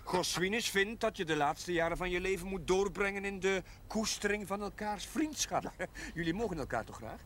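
A man playing a kindly, earnest village chaplain in a television period drama, gentle and a little hesitant. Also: sits high, animated, normal speed, a little hiss or hum.

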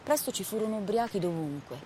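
An actress performing as a grave storyteller of a wartime retreat, in measured, solemn literary narration. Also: female voice, medium pitch, expressive, warm tone, slight background noise, close to an everyday voice. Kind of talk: literary scripted narration of war history.

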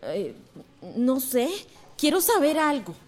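Insistent, high-voiced young schoolteacher. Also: female voice, sing-song, slow pace, exaggerated voice. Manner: insistent, earnest. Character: determined, questioning young female village schoolteacher. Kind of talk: radio soap opera acting.

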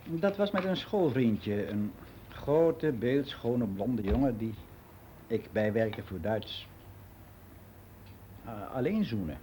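Mellow older man reminiscing, faint hiss. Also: medium pitch, animated, takes it slowly, pauses often. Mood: candid, reminiscing. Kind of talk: radio play monologue as a personal testimony.

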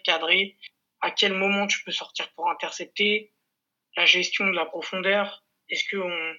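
High, very bright-voiced male guest. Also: lo-fi sound. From Corsica, France. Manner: didactic, explanatory. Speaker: interview guest, football coach. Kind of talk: conversational explanation.

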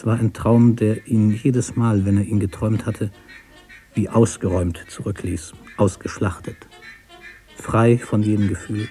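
Low, mellow male literary narrator. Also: flat delivery, a little slow, faint music behind. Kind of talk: literary radio narration of a prose story.

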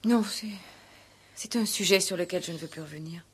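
Guarded, husky village schoolmistress. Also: female voice, on the low side, moderate pace. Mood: bitter, withdrawn. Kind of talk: 1960s French radio play acting.

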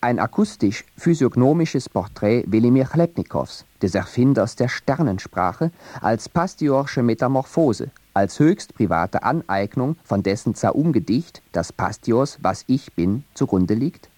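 A man reading a scripted radio feature narration in a measured, academic way. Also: mid-range voice, expressive, warm tone, dry recording.

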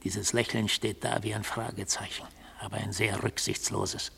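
An actor playing an obsessive defendant in a radio play, arguing in an insistent, precise, rhetorical manner. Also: male voice, on the low side, flat delivery, normal speed, gravelly, fairly bright tone, husky, full character voice. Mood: fervent. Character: obsessive male defendant, a mystical god testifying in court. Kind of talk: 1970s German radio play acting.

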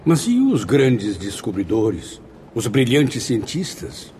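A man's voice: mid-range voice, huge pitch swings, slow pace, mellow, slight background noise. A man acting a courteous, inquisitive professor in a radio drama, speaking formally and theatrically. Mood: earnest, questioning. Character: inquisitive, courteous male professor, earnest pupil in spirit world.

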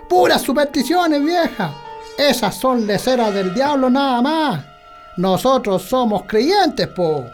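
A man's voice: very high voice, sing-song, takes it slowly, exaggerated voice. A man acting a stubborn, skeptical rural husband in a radio drama, with colloquial Chilean speech. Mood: dismissive. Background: prominent music.

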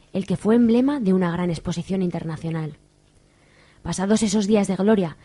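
Mellow Spanish woman narrating a radio soap. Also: mid-range voice. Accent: Spain. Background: faint music.

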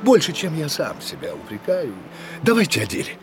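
An actor playing a shrewd, courtly musketeer conspirator in a radio play, speaking with earnest, dramatic urgency. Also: male voice, on the high side, wildly swooping pitch, normal speed, over music. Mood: excited, urgent. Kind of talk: Russian radio play acting.

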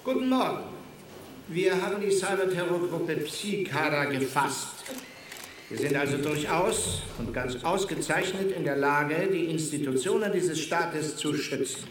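A man plays a firm, dismissive chancellor addressing reporters in a radio drama. His voice sits a little high for a man. It rings in a roomy, echoey space with a faint hiss behind. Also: a little slow, natural voice. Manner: firm, dismissive public speaker. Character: German chancellor giving a press statement. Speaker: politician.

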